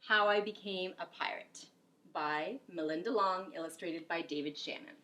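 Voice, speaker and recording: A middle-aged woman reading a picture book aloud as a teacher, expressive and explanatory, with deliberate delivery. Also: lowish voice. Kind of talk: expressive children's story reading.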